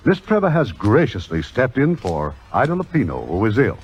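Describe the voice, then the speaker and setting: A male radio host announces formally and grandly with animated intonation. The recording is old and slightly muffled, with music playing behind him. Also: mid-range voice, normal speed, slightly rough. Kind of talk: 1950s radio announcing, scripted narration.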